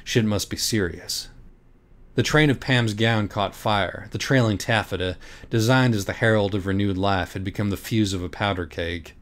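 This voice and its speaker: A man's voice: fairly low pitch, normal speed. A man reading a parody audiobook in a mock-solemn, theatrical, literary style. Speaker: audiobook reader. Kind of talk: dramatic, profane comic narration of a parody children's book.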